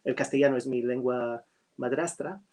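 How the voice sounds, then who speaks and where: A man whose first language is English talks casually on a video call, his pitch sitting in the middle of the range. He is hesitant, with frequent fillers and restarts. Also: steady tone, moderate pace, darkish tone. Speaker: writer. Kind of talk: casual conversation.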